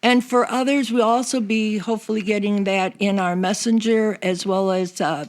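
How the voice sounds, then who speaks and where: A woman's voice: mid-range voice, steady tone, normal speed. An older woman chatting casually as a guest, announcing details of a church seniors group.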